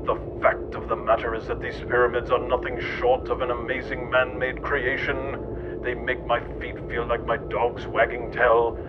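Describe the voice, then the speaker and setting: Monotone man narrating through loud rumbling noise. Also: normal speed, muffled recording. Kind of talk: scripted mock-documentary narration.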